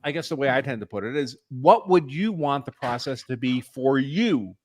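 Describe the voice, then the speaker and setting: A man's voice: medium pitch, lively intonation, moderate pace, slight background noise. A man giving blunt, emphatic legal commentary with some swearing in a casual panel discussion.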